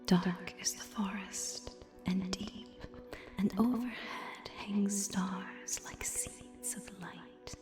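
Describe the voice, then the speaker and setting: Echoing, whispering woman reciting slowly. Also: medium pitch, steady tone. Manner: slow, gentle, hypnotic, dramatic reciting. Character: gentle, seductive gothic vampire hypnotist. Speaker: ASMR performer. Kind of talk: whispered ASMR poetry recitation. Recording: echoing layered whispers over music. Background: music.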